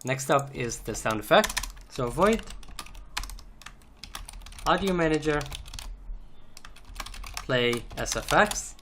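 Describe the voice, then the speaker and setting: Male tutorial instructor, slow and methodical, unscripted screencast delivery, faint background noise with keyboard clicks. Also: on the high side, sing-song, fairly bright tone. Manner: methodical step-by-step explaining while typing. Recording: noisy, keyboard clicks and typing. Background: computer keyboard typing and clicks.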